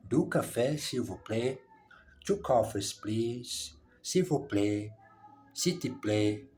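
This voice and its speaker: A man records himself on his phone as he learns French. He speaks slowly at a middle pitch, often stopping to repeat and correct himself as he works through lines of dialogue. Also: lively intonation, a little mellow, a little hiss or hum. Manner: halting, repeats and self-corrects, reads dialogue lines aloud. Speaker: language learner. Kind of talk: casual language practice vlog. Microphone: phone camera.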